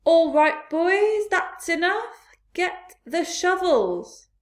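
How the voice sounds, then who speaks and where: A woman doing slow, chanting cloud creatures. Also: very high-pitched, expressive, pitched above the performer's own voice, full character voice. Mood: gleeful chanting. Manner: slow, loud, sing-song chanting. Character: gleeful male cloud creatures, chanting in chorus.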